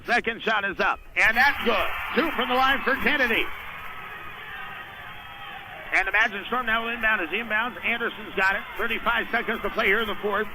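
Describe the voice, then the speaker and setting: A man's voice: high voice, normal speed, background noise. A man doing live radio play-by-play of a basketball game in short, running descriptions of the action. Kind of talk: live radio sports commentary. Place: indoor high school basketball game.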